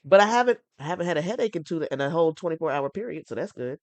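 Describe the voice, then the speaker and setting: A woman's voice: low-pitched, lively intonation, very fast, darkish tone, no room sound. Congested woman hosting a porch chat. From North Carolina. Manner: rambling, enthusiastic, restarts often. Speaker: podcast host.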